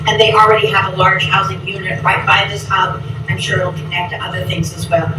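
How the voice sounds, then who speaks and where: A woman chairing a committee, reporting to a public board meeting in an upbeat, effusive way with a loud voice. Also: mid-range voice, flat delivery, fairly quick. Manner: upbeat, effusive, restarts often. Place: large echoey meeting room. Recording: echoey, distant, noisy meeting recording.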